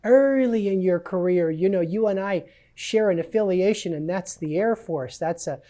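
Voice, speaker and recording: A man hosting an interview podcast, enthusiastic and quick with affirmations. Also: high voice, mellow. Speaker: host.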